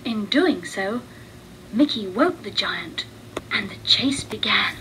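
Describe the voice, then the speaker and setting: A woman reads a children's storybook aloud at a slow pace. Her phrasing is deliberate and expressive, in a medium-pitched voice. The recording carries clear noise. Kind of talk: read-along storybook narration.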